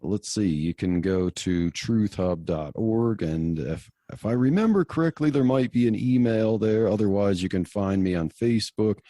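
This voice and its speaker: A man's voice: lowish voice, lively intonation, moderate pace, few pauses, warm tone, no echo, clean studio sound. A man speaking as a guest on a podcast, in casual, slightly hesitant conversation.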